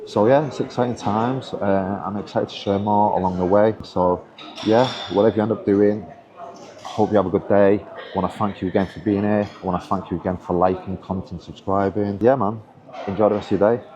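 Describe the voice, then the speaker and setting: Male coach, low register, earnest motivational vlog delivery with restarts, crowd noise behind. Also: fairly even intonation, brisk, muffled recording. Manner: earnest, encouraging, with frequent restarts. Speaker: online business coach. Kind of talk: casual motivational talk to camera.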